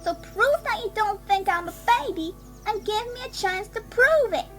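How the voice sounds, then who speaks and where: Someone voicing a very high-pitched stubborn teenage pony filly. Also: lively intonation, normal speed. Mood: pleading, insistent. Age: young teen character. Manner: indignant, insistent, folksy country phrasing. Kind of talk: dramatic fan fiction audio reading. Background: music bed.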